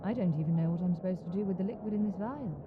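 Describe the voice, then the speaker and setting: A woman's voice: mid-range voice, rapid-fire, lightly acted. A calculating woman criminal, played by a woman, heard through a thin, phone-like filter with noise behind her. She speaks coolly and without fuss. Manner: cool, calculating. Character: cool, mercenary woman criminal.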